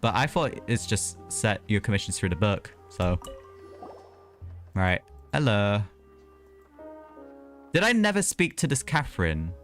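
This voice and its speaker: Low-pitched man live-streaming a game. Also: sing-song, a little slow, long pauses, a bit bright, faint music behind. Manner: easygoing, chatty, reacting aloud. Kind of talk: casual live gameplay commentary and chat.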